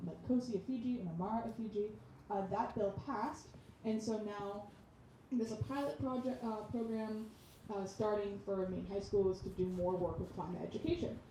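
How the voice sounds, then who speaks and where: A young woman on a youth climate panel, her voice very dark and mellow. She speaks in an echoey room and turns emphatic and loud when she drives a point home. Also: medium pitch, a little hiss or hum. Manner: grows emphatic and louder when stressing a point. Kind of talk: panel discussion.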